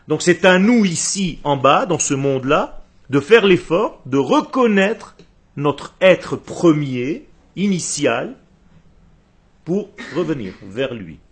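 Husky man teaching religion. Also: on the high side. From Israel. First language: Hebrew. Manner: didactic, emphatic, with rhetorical questions and short phrases. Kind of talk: religious lecture to a group.

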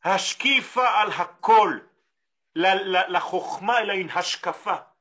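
A man from Israel with an Israeli accent, a Hebrew native speaker giving a Torah lesson in French, animated and full of rhetorical questions. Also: high-pitched, takes it slowly, husky. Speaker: rabbi.

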